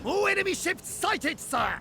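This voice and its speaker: High-pitched, urgent military officer. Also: male voice, very animated, measured pace, creaky, over music, heavily acted. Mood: urgent, exultant. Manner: urgent, dramatic, deferential. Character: excitable loyal Japanese military officer reporting to his lord. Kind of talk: cinematic strategy game acting.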